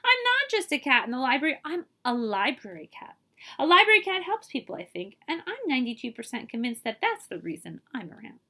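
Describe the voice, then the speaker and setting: Eager young tomcat kitten played by a female reader, sweet, earnest, high and swooping, for a picture book. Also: wildly swooping pitch, fairly quick, pushed and bright, exaggerated voice.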